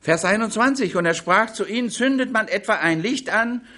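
A man preaching a sermon on a Bible passage in a language that is not his first, with slow, emphatic delivery that drops into quiet asides. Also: high-pitched, animated. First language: not German.

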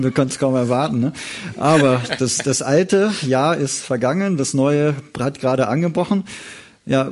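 Halting, informal man hosting a church service. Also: mid-range voice, darkish tone. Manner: halting, conversational, often adds tag questions. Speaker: church service host interviewing a guest pastor.

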